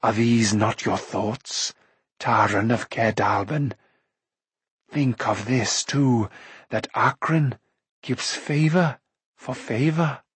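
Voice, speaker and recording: Man voicing a slow, menacing sorceress queen. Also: mid-range voice, lively intonation, no echo. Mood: sly, tempting. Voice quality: hoarse whispering. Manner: slow, drawn-out, threatening. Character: menacing sorceress queen, cunning, scornful, commanding. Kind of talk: audiobook character voice.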